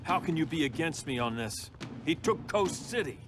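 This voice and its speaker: Give the fallen hero of a cinematic game , acted by a man: a somewhat high male voice full of grief and wrath. He accuses intensely, his pitch moving widely. Music plays clearly behind him. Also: lively intonation, moderate pace, natural voice. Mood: pleading anger. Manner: intense, accusing, dramatic delivery. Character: embittered, fallen male superhero turned tyrant, grieving and wrathful. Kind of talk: cinematic video game acting.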